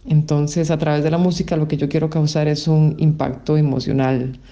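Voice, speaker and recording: A woman musician from Costa Rica answers questions thoughtfully and often hesitates between her ideas. Her tone is mellow and warm. The recording sounds dull and lo-fi. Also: deep voice, little pitch movement, moderate pace. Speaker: musician guest. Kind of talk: casual interview answers.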